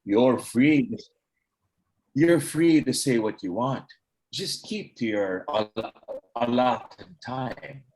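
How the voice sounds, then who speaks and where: A man reciting poetry in a measured, deliberate way. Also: mid-range voice, animated, a little mellow, a little hiss or hum, low-quality recording. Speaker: poet reciting at an online event.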